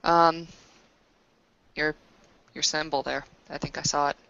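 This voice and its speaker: Lower-voiced woman roleplaying casually. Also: flat delivery, a little slow, gravelly, a bit bright, no room sound. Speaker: tabletop roleplaying player. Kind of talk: casual in-character tabletop roleplay. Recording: dull lo-fi recording.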